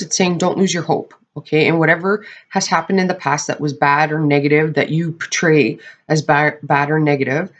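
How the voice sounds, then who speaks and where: A tarot reader with a hoarse, crackling voice from losing it, giving a casual monthly reading to camera and often checking in with the viewers. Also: medium pitch. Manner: chatty, often checks in with "okay?"; clears throat often.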